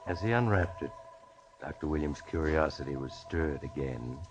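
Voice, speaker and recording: An actor playing a mysterious storyteller, narrating a 1940s radio mystery in an ominous, hushed, deliberate way. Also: male voice, a bass voice, animated. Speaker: host and narrator. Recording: muffled old radio recording. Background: dramatic music.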